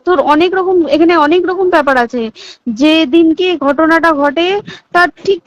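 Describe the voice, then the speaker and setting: High-pitched Indian Bengali woman, emphatic confiding phone talk, repetitive, dull call-recording sound. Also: normal speed, no echo. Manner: emphatic, repeats points, checks listener understands. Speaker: hospital staff member. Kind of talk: private phone conversation, recounting insider details.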